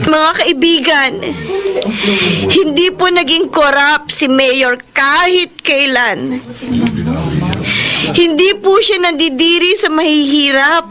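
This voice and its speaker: A woman's voice: sits high, slow, pauses often, crowd noise. A woman acting a remorseful young niece making a formal, emotional public confession in a Filipino radio drama. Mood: ashamed, earnest.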